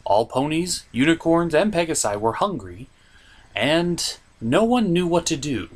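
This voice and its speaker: A man acts out a theatrical gentleman puppet with a raised, swooping voice. He delivers scripted comic commentary as a review show host, exclaiming and easily exasperated. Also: fairly high pitch, very animated, a little slow. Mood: wry recapping.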